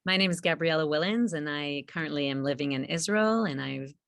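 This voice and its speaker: Woman, very low pitch, dry close sound, panel co-host, halting searching delivery. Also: vocal fry, no room sound. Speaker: artist co-hosting a panel event. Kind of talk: introducing speakers at a scholarly panel.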